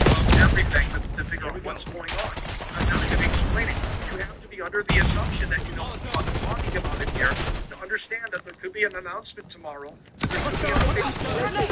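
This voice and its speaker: A man's voice: high voice, heavy background noise. A man hosting a livestream, ranting over gameplay in a scolding, repetitive, sweary way. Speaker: livestream host. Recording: loud game gunfire and clicks behind. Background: video game gunfire.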